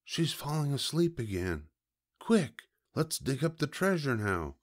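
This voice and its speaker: A man's voice: on the high side, huge pitch swings, unhurried, pitched above the performer's own voice, heavily acted. A man voicing an earnest young boy, an exasperated big brother in a storybook. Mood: urgent, hushed. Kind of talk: storybook character voice.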